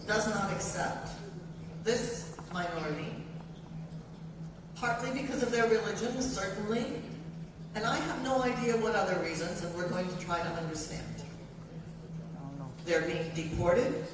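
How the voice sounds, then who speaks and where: A woman's voice: mid-range voice, background noise. An older woman giving an earnest, emphatic speech to a university audience, introducing a guest in short listing phrases. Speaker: event speaker introducing a guest. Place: large university hall. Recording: echoey hall, voice from far off.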